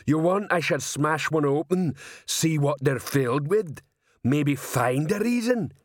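A man voicing a big, gruff, bullying troll police sergeant in a storybook, with a growl, broken grammar and heavy sarcasm. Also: fairly high pitch, lively intonation, measured pace, dry recording, clean studio sound, voice pushed up. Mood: threatening.

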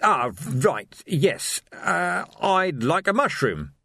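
A man voices a harried sergeant in a fantasy audiobook. He is firm and blunt, with swinging, emphatic pitch and a dry, close sound. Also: big pitch swings, slow pace, vocal fry, a bit bright, husky, no echo, full character voice. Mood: flustered. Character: harried, commanding male watch sergeant.

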